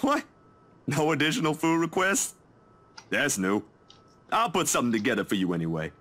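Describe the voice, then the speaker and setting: An easygoing young man in a visual novel game. His pitch swings freely as he chats casually, with a joking, caring friend's manner. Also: normal speed. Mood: friendly, teasing. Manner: casual, chatty, animated.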